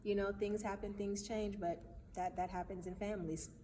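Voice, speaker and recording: A woman's voice: mid-range voice, flat delivery, normal speed, dark tone. Woman interviewee in an echoey hall. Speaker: playwright interviewed about her stage play. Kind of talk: casual interview talk. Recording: echoey hall, distant mic, faint noise.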